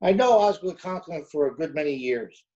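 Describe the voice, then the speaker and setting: A man playing a measured, skeptical official in old-time radio comedy style. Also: fairly high pitch, expressive, warm tone, natural voice. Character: measured, skeptical male official over the principal. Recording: dull remote video-call audio.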